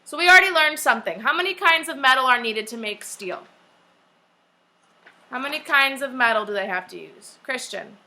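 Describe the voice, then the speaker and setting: A woman teaching young children in a classroom, reading aloud and instructing deliberately, with repeated questions and key phrases. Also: high voice, animated, sharp tone, poor audio. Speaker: elementary school teacher. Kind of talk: classroom read-aloud lesson.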